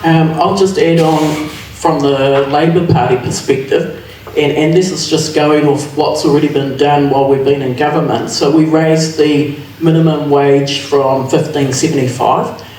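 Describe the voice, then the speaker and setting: A New man with a fairly high voice speaks sincerely and personally at a candidates' forum. His voice reaches the mic from far off in a big, echoing hall with faint noise. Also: fairly even intonation, measured pace, darkish tone. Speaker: election candidate. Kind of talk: public candidate forum answer.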